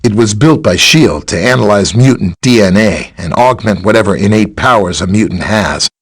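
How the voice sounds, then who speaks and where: Eager, husky scientist hero, lively pitch. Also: male voice, normal speed. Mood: explanatory, earnest. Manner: chatty, polite, expository. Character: friendly, helpful male scientist superhero, earnest and eager. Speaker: voice actor in a video game. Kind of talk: scripted video game character dialogue.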